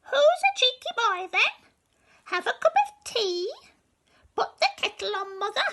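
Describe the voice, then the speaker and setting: A woman voicing a chirpy, cheeky male talking parrot in a storybook, in a high, loud, squawky voice. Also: big pitch swings, measured pace, heavily acted.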